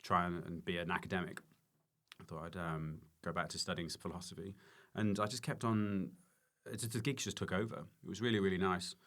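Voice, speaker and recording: A man talking casually as an interview guest, halting and self-correcting, repeating words for emphasis. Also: low voice, steady tone, a bit bright. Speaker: podcast guest, stand-up comedian and former teacher.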